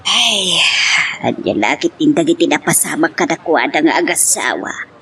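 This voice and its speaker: A woman's voice: sits low, lively intonation, normal speed, a bit bright, full character voice. A woman with an Ilocano accent playing an emotional mother and wife in a family love drama. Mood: concerned. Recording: clear background noise.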